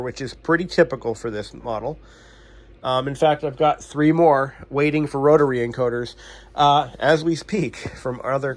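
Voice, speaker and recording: A man talking casually as a repair technician hosting a vlog, explaining things in an informal, blunt, self-deprecating way. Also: on the high side. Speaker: radio repair technician and channel host.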